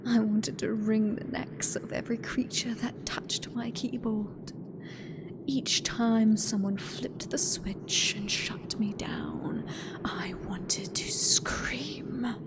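A British woman voicing a hateful sentient AI god in a breathy, deliberate whisper for an ASMR horror roleplay. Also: a little high, sing-song, exaggerated voice. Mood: bitter longing. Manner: slow, deliberate, menacing, theatrical. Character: hateful sentient female AI god gloating over last human.

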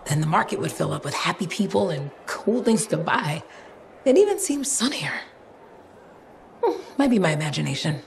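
A woman's voice: on the low side, very animated, normal speed. An actress playing a cranky, nostalgic townswoman in a video game, talking in a wry, plainspoken way. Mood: wistful. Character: cranky, nostalgic townswoman stuck outside fort gates. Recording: game audio with clear ambient noise.

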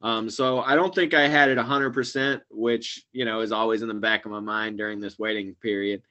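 A man in his forties, a guest actor and comedian, chatting casually over a webcam call from a small room at home, rambling and trailing off quietly at the ends of phrases. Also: lowish voice, steady tone, fairly quick, muffled recording. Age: 40s. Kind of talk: casual video-call chat. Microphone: webcam video call.

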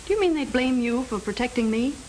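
An actress playing a sweet, playful young American younger sister in a 1930s film, on a hissy, crackly soundtrack. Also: female voice, a little high, expressive, quick pace, darkish tone, natural voice. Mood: playful, caring. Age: young adult.

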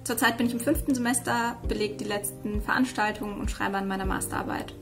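A woman's voice: mid-range voice, steady tone, fast talker. A woman aged twenty-nine, a student giving a prepared self-introduction to camera. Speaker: scholarship student. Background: background music.

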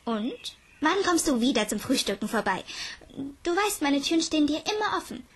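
A woman's voice: high-pitched, sing-song, normal speed, pitched above the performer's own voice. A woman voicing a cheerful, encouraging girl in an audiobook, playful and reassuring. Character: cheerful, encouraging girl who grants happiness. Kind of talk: audiobook character dialogue.

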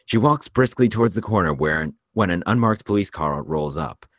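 A man reading screenplay stage directions aloud as a narrator, in measured present-tense narration. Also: fairly low pitch, expressive, dry recording, clean studio sound.

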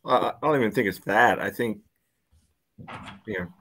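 A man's voice: fairly low pitch, normal speed, a bit bright. A man giving casual match analysis as a soccer podcast panelist on a video call, often padding with filler phrases.

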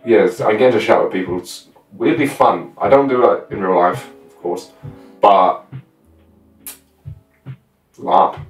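Young guy in his 20s telling stories casually, lowish voice, echoey room. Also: measured pace, pauses often, distant mic. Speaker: guest telling stories of live roleplay. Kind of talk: casual chat. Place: small bedroom indoors. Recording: roomy echo, off the mic, faint music.

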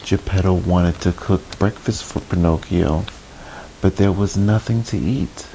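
Man, children's read-aloud, creaky low voice, lapel mic, faint hiss. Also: a little slow, dark tone, rough recording. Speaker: children's storybook reader. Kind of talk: read-aloud storytelling for kids, with asides. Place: small indoor room, seated on a couch. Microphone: clip-on lapel mic.

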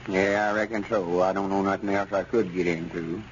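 An actor playing an earnest old country storekeeper with a rural Southern accent and folksy talk, in old radio comedy style. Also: male voice, deep voice, steady tone, fairly quick, lightly acted. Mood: businesslike. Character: earnest, plain-spoken old country storekeeper, proud but forgiving. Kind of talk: 1940s radio comedy acting. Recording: dull, noisy old radio recording.

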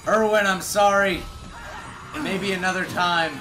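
A man's voice: sits high, a little slow. A young man giving casual, flustered gameplay commentary during a co-op video game session. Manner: excitable, easily flustered. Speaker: video game streamer playing co-op. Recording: loud game music behind. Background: game music and cutscene audio.

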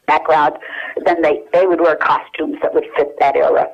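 A woman's voice: sits low, fairly even intonation, normal speed, gravelly. An older woman with an American accent, reminiscing casually as an interview guest and searching her memory hesitantly as she talks over the telephone. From United States. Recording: dull lo-fi telephone hook-up.

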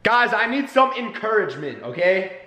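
A man's voice: high-pitched, very animated, moderate pace, noisy background. A young man vlogging to a handheld camera at arm's length in a house, talking in a hyped, playful way. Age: young adult. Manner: hyped, playful, whiny when pleading. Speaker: YouTube vlogger. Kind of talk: energetic casual vlog talk. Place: house interior, bedroom and hallways.

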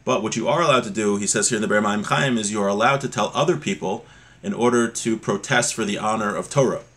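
A middle-aged man giving an informal religious lesson, explaining with examples in a conversational, somewhat halting way. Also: on the low side, a bit bright. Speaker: religious teacher. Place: small home room, indoors. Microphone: webcam or laptop mic at desk distance.

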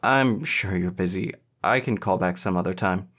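A man's voice: low-pitched, moderate pace, a bit bright. A man voicing an anxious, sweet and sensitive teenage boy in audiobook dialogue. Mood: polite, hesitant.

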